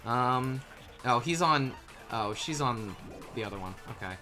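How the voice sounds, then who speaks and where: A man's voice: medium pitch, lively intonation, a little slow, pushed and bright. A man playing and dubbing a video game in casual group banter, switching between chat and read lines. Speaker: player and fan dubber of a video game. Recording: music playing behind the voice. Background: game music.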